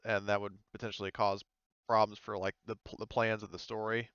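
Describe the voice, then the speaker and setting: A man's voice: fairly low pitch, flat delivery, brisk, fairly bright tone, clean tone, no room sound, muffled recording. A man talking casually and off the cuff during a tabletop roleplaying game.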